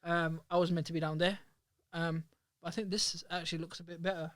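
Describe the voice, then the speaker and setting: A man's voice: on the high side, flat delivery, a bit bright, no room sound. A man hosting a casual podcast in a small studio, rambling and restarting his sentences. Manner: rapid, chatty, rambling with many restarts. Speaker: podcast host. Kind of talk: casual chat. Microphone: studio microphone.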